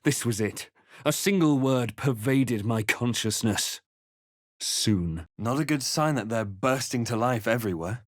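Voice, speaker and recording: A man playing a grave, watchful adventurer hero in a fantasy video game, speaking in a measured, dramatic, introspective way. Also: medium pitch, no room sound, clean studio sound, close to an everyday voice. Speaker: video game player character. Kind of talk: scripted narration and character lines for a fantasy game.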